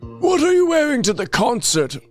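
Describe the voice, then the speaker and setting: A performer voicing an eager elderly male wizard headmaster in a high, exclamatory dramatic reading. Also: wildly swooping pitch, normal speed, darkish tone, faint music behind, heavily acted. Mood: eager, nosy. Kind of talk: dramatic reading of fan fiction.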